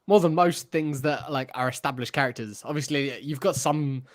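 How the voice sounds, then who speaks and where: A man's voice: medium pitch, normal speed. A man from southern England with a southern English accent, chatting casually as a podcast host. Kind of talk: casual chat.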